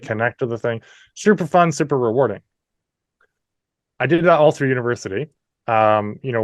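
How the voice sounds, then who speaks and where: Canadian guy, 30s, interview guest, easygoing storyteller, medium pitch. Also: dry recording. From New Brunswick, Canada. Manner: friendly, chatty, frequent "you know" asides.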